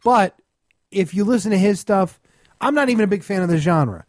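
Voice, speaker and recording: A man's voice: high-pitched, lively intonation, brisk, darkish tone, no room sound, clean studio sound. A man chatting casually in blunt, scornful, emphatic rants full of swearing, as a guest commentator on a comedy podcast. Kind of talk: profane comedic ranting, casual chat.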